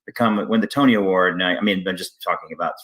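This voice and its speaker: A man hosting a casual interview, speaking haltingly and often restarting his sentences. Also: deep voice, fairly bright tone. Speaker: interview host, theater artistic director.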